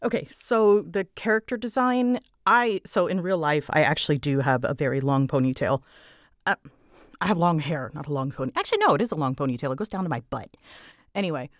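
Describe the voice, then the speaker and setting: Lowish voiced girl chatting away, dramatic n all over the place, kinda self-deprecating, dry close mic. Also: a little fast, no room sound. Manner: animated, dramatic, voice swoops up and down; scattered, self-deprecating, giggly. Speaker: ASMR audio creator and voice performer. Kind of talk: casual rambling Q&A chat. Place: small closet used for recording.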